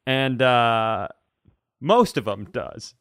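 Male comedy podcast host, medium pitch, animated storyteller who performs quotes, very dry room sound. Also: very slow, no echo. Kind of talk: casual comedic chat.

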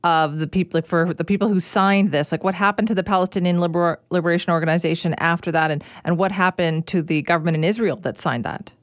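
A woman host asking interview questions quickly, sprinkling in "like". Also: lowish voice, steady tone, no room sound, clean studio sound. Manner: quick, with "like" fillers.

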